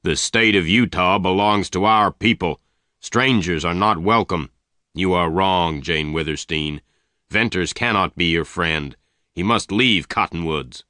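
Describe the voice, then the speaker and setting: A man's voice: low-pitched, unhurried, sharp tone, no echo, studio quality. A man voicing an angry, jealous and commanding boss in a western story, speaking in threats. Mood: stern.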